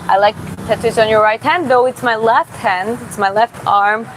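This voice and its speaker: A woman from Georgia who streams and boxes, reading viewer comments aloud in a live Q&A and answering casually, often pausing and restarting to find her words. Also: fairly high pitch. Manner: casual, halting, searches for words with many restarts. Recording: steady background hum.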